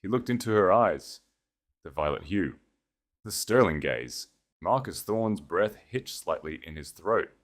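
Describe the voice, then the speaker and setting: Deep-voiced male story narrator. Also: expressive, unhurried. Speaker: audiobook narrator. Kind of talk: dramatic scripted story narration.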